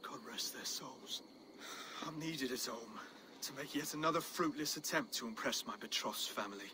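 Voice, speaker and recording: A man's voice: medium pitch, moderate pace, noisy background, lightly acted. An actor playing an earnest, courteous, mild-mannered Saxon nobleman in a video game cutscene. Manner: courteous, earnest, soft-hearted.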